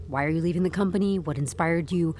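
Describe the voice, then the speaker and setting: An actress playing a nervy, sarcastic young-to-the-job HR employee held captive, flustered and wisecracking, in a comedic audio drama. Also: female voice, lowish voice, fast, mellow, slight background noise, natural voice. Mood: nervous, stalling.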